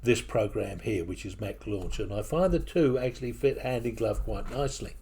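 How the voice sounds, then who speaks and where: A man with a mixed English and New Zealand accent, walking through software on his computer in a casual, hesitant way with many fillers. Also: fairly low pitch, moderate pace, slightly rough. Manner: hesitant, with frequent fillers and restarts. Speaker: software tutorial presenter. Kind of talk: casual screen-recording walkthrough. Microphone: desktop microphone at a computer.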